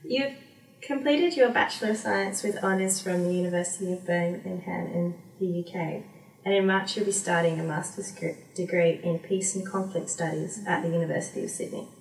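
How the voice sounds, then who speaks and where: A woman interviewing a guest, asking measured, formally phrased questions. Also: lowish voice, fairly even intonation, vocal fry. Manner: measured, asks formal questions with short backchannels. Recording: echoey room, mic far off.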